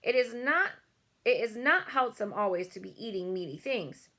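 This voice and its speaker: A woman playing a gentle, friendly giant in a storybook, earnest and persuasive, with mangled comic grammar. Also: a little high, expressive, moderate pace, exaggerated voice. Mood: earnest persuading.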